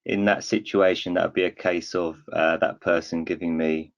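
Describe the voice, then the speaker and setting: A man's voice: low voice, little pitch movement, normal speed, vocal fry. A man on a webinar panel answering technical questions hesitantly, with restarts and tentative wording. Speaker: surveying equipment expert. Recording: dull lo-fi call audio.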